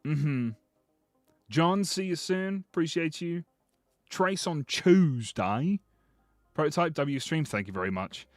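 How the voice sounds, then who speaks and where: Joking man streaming, slightly hoarse. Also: a little high, expressive, takes it slowly, long pauses, no room sound, soft background music. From Britain. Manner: joking, rambling, swears freely. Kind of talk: casual livestream commentary.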